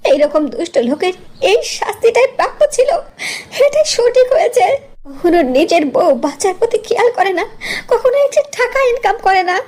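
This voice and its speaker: A woman's voice: extremely high pitch, sing-song, normal speed, a little mellow. A woman voicing a fiercely protective, hard-pressed mother of five in a cartoon, with an emphatic, dramatic delivery. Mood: bitter. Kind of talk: cartoon voice acting.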